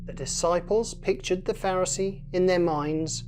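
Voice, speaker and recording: A woman reading a children's story in a measured storytelling manner. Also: on the low side, over music. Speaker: story reader. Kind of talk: scripted children's story narration.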